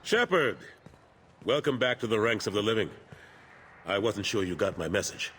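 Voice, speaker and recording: A man playing a seasoned, frank military admiral in a video game, with a husky, measured voice. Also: on the low side, lively intonation, a bit bright, a little hiss or hum. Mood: glad. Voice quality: husky, rough-edged.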